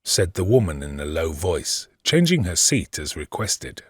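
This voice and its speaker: Deep, husky narrating. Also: male voice, very animated, dry recording, studio quality, exaggerated voice. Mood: measured, observant. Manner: measured, literary storytelling. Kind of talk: scripted audiobook narration.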